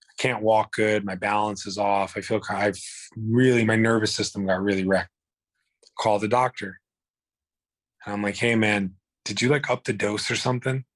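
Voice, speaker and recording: Hoarse, low-voiced man telling his story. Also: fairly even intonation, moderate pace, dry recording. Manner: blunt, profane, rambling with restarts. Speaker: guest. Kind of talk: candid personal storytelling.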